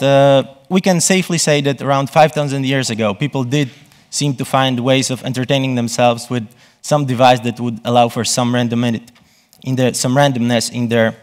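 A man gives a conference presentation from a stage, often pausing and rephrasing himself. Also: medium pitch. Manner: halting, self-correcting delivery. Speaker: conference speaker.

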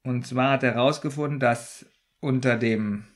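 A man's voice: medium pitch, fairly even intonation, normal speed. A man co-hosting a podcast in casual chat, keeping things brief and teasing his partner. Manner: brief, teasing, laughs often. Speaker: podcast co-host.

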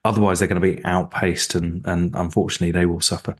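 A man with a very low, deep voice, talking as an interview guest about security. He speaks emphatically, repeating his points and often restarting his sentences with fillers. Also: little pitch movement, fast, breathy edge. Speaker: interview guest, cybersecurity executive. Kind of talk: sponsored interview.

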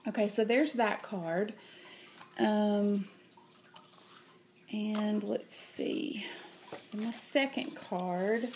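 Slow-talking nasal woman with a cold. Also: mid-range voice, slight background noise. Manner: hesitant, many ums, gentle and chatty. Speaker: craft vlogger. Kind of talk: casual show-and-tell. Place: home, at a table.

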